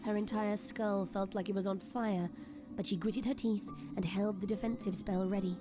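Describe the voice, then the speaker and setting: A woman's voice: medium pitch, steady tone, moderate pace. A woman reading scripted, dramatic story narration as the narrator of an audio drama. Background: music.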